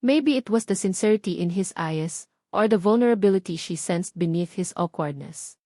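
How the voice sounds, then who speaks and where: A woman's voice: on the low side, animated, normal speed, dark tone, dry recording, clean studio sound. A woman narrating a scripted romance audiobook in an earnest, emotive storytelling manner.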